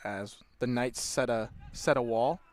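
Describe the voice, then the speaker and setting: A man's voice: medium pitch, measured pace, dry recording. A man with a Californian accent giving laid-back, conversational play-by-play at a college soccer match. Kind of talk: play-by-play commentary.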